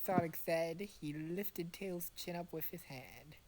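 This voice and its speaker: A woman's voice: on the low side, measured pace, a crowd in the background, rough recording. A woman narrating a story informally for friends, as an amateur reader with exasperated asides. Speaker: narrator of a fan fiction reading.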